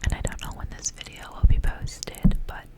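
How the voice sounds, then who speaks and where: A young woman speaks in a breathy, unvoiced whisper. Her delivery is soft and informal, in the manner of an ASMR creator chatting casually to the listener. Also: moderate pace. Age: young adult. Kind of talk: ASMR intro and casual life update.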